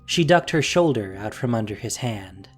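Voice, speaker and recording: A narrator with a measured, dramatic storytelling manner, reading a scripted audio drama. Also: mid-range voice, lively intonation, faint music behind. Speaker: podcast narrator and producer.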